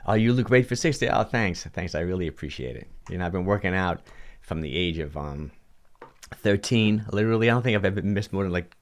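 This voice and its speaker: A man in his sixties hosting a fitness livestream, talking casually and answering viewer comments, animated and prone to quick restarts. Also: low-pitched. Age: 60s. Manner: animated, talks with his hands, frequent restarts and repeats. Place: indoor home studio with colored lights. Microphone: large studio mic on a boom in front of him.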